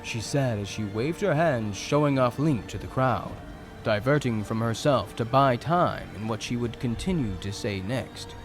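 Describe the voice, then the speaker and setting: A man's voice: medium pitch, lively intonation, normal speed, faint music behind. A man reading scripted narration for a fantasy audio drama.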